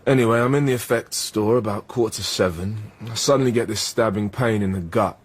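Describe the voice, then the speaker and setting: An actor playing a bruised, sardonic studio manager recovering in hospital, grumbling casually in a radio drama. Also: male voice, lowish voice, brisk, husky. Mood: weary, recounting.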